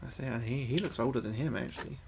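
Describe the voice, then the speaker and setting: A man's voice: medium pitch, fast talker, vocal fry, noisy background. A young man livestreaming a game, thinking aloud to his chat, sweary and repeating himself. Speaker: livestreaming gamer. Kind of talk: casual gameplay commentary to chat.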